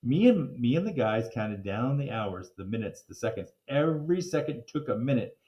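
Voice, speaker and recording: A man reading a children's story aloud in a playful, expressive way with theatrical emphasis. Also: mid-range voice, normal speed, lo-fi sound. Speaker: reader of children's book. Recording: roomy, echoing room.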